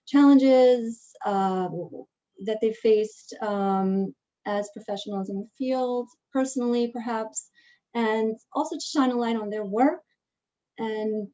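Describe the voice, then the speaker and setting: A woman opening a panel on a video call, speaking haltingly with many fillers. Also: medium pitch, warm tone, dull audio. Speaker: event organizer.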